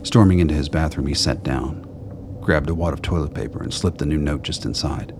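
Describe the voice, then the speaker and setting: Super deep gravelly guy narrating a radio drama, noisy background. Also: brisk. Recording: clear background noise.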